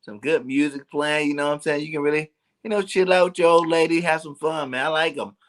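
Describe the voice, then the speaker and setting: A man's voice: on the high side, quick pace, a bit bright. A man, co-hosting a fan call-in show, teasing his partner in easygoing chat and chuckling a lot. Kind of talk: casual chat.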